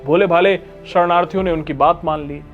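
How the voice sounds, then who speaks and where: High-pitched male narrator, grave and dramatic. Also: fairly even intonation, a little fast, narrow, tinny audio. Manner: grave, emphatic storytelling. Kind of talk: scripted dramatic documentary narration. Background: background music.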